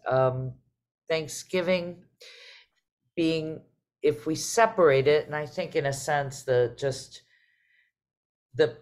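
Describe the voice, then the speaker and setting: A middle-aged woman hosting a casual online conversation, speaking reflectively and haltingly over a video call. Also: extremely low pitch, a little mellow. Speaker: host, artistic director.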